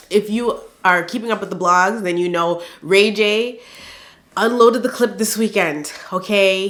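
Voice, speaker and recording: A woman in her thirties hosting a gossip channel, sounding nasal and stuffed up from the flu, talking in an animated, chatty way and calling her viewers "girl". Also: lowish voice. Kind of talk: casual celebrity gossip chat.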